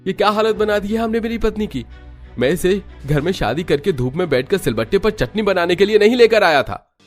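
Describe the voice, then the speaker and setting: Guy doing young husband all worked up and protective, voice pushed higher, cartoon story, music behind. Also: lively intonation, fairly quick. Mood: indignant. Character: indignant young husband defending his wife. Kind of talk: Hindi animated family story dialogue.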